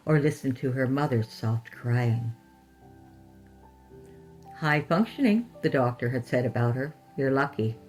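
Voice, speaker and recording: A woman hosting and narrating fiction in a scripted storytelling style. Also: low voice. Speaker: podcast host and story narrator.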